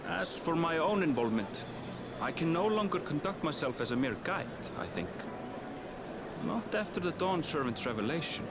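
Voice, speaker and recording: An actor playing an earnest young guide in a video game cutscene, speaking in a measured, formal and courteous way. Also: male voice, a little high, very animated, heavy background noise. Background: game music.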